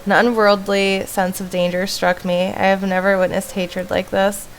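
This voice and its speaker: A woman's voice: medium pitch, little pitch movement, normal speed, a little hiss or hum, rough recording. Woman streamer reading a horror game aloud. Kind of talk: reading a horror visual novel aloud with casual asides. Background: faint music.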